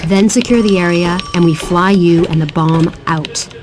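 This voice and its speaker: An actress voices a composed female mission controller in a military video game. She briefs operatives in a precise, businesslike way, with loud vehicle noise behind her. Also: on the low side, moderate pace, close to an everyday voice.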